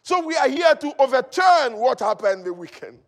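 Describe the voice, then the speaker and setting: High-pitched male preacher in a reverberant hall. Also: very animated, normal speed. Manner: emphatic, declamatory, repeating key phrases. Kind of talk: fervent charismatic sermon.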